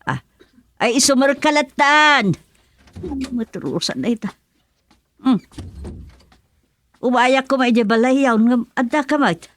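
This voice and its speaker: A woman's voice: sits high, animated, slow, long pauses, dry recording. Exasperated Filipino village mother, dry close sound. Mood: matter-of-fact. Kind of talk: Filipino radio serial drama acting.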